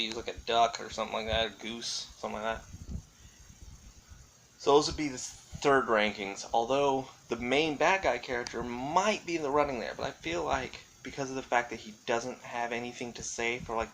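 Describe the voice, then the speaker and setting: A man with a medium-pitched voice talks casually, as if recording a solo vlog. He thinks aloud in a rambling, tentative way, often qualifying his own statements. Faint noise sits behind his voice. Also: fairly bright tone, poor audio. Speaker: vlogger. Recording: clearly audible background noise.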